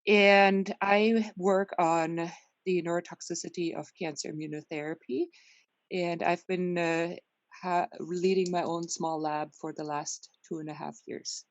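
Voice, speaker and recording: A woman child neurologist with a German accent, speaking slowly and carefully, slightly halting. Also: on the low side, fairly even intonation, no room sound. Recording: slightly dull, dry sound.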